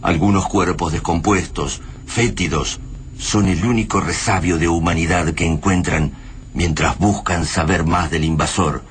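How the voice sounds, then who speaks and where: An Argentine actor serving as solemn narrator of a radio drama, reading scripted lines with dramatic pauses. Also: male voice, sits low, fairly even intonation. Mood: bleak, solemn. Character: solemn male narrator of a post-invasion war tale. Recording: clearly noisy radio drama ambience.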